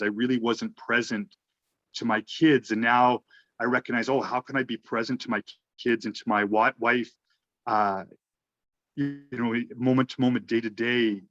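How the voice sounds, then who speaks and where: An earnest man speaks reflectively, circling back and restarting phrases as he feels his way toward what he means. His voice sits at a middling pitch. The recording is dry and slightly dull, like a lo-fi call. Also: fairly even intonation, normal speed, fairly bright tone, dry recording. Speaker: guest in a group conversation. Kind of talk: reflective casual conversation.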